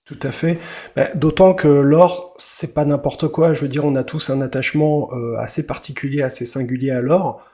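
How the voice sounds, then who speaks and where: A man's voice: mid-range voice, fairly even intonation, moderate pace, mellow. A man hosting a casual interview over a headset mic, chatty and full of fillers. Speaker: podcast host.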